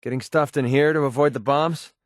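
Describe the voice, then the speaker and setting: A man's voice: medium pitch, fairly even intonation, a little fast, no echo, studio quality, lightly acted. An actor playing a cynical young mercenary gunman in a video game, talking in a casual, sardonic, world-weary way. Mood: wry, matter-of-fact. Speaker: game companion character. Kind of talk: scripted video game dialogue.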